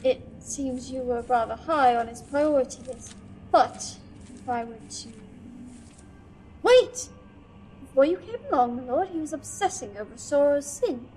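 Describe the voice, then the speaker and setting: Casting: actress as scholarly sci-fi archive keeper, nervous stammer, deferential yet fussy, pitched high. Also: female voice, a little slow, darkish tone, a little hiss or hum, rough recording. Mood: eager, deferential. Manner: nervous, stammering, deferential, bossy to her assistant. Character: flustered, eager scholarly female archive curator serving a Sith. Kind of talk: fan audio drama acting.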